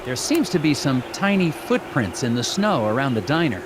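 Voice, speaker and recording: A man playing an earnest, awkward young FBI agent in an animated game, polite and hesitant with a deadpan streak. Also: mid-range voice, moderate pace, background noise, lightly acted. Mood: musing, deadpan. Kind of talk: animated adventure game dialogue and dictated notes.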